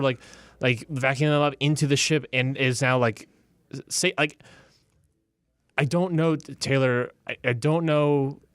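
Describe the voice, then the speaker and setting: A man's voice: mid-range voice, no room sound, natural voice. Man playing a hesitant, stammering, doubtful adventurer. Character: doubtful, conflicted adventurer trusting his gut. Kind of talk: improvised tabletop roleplay.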